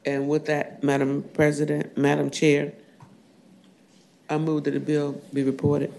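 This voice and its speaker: A hoarse man with a scratchy voice, a state senator formally presenting bills in a committee room, reading the text at length with many fillers. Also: on the high side, monotone, measured pace, darkish tone. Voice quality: scratchy, gravelly. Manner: reads bill text at length with frequent fillers. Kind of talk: formal legislative bill presentation.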